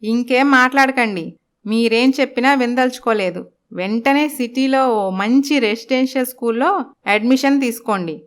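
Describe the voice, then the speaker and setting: A high, clean-voiced stepmother from an animated moral tale, acted by a woman. She is harsh and emphatic, quick to nag, and dotes on her own daughter. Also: close to an everyday voice. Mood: indignant, complaining. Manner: nagging, emphatic. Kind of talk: Telugu animated moral story acting.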